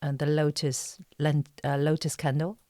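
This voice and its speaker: A woman's voice: sits low, flat delivery, a little slow, dark tone, no echo. Hesitant woman with Chinese accent, dry recording. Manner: hesitant, searching for words. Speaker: interview guest, actress and writer. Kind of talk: casual interview chat.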